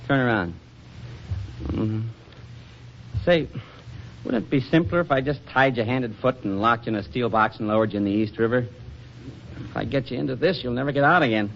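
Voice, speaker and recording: A man's voice: medium pitch, fairly quick, vocal fry, long pauses, close to an everyday voice. A man playing a genial, wisecracking suburban husband in a 1940s radio drama. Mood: teasing. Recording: dull, noisy 1940s radio recording.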